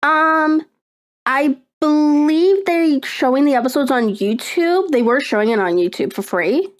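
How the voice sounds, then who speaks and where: A young woman streamer chatting casually on a live stream, rambling and excitable, with lots of fillers and laughter. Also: high-pitched, measured pace.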